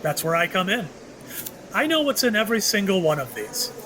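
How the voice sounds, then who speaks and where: A man's voice: sits high, sing-song, fairly quick, a crowd in the background, poor audio. A man playing a mysterious, ageless shopkeeper in an audio drama, knowing and slyly wry, dropping cryptic, teasing hints. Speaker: actor in an audio play.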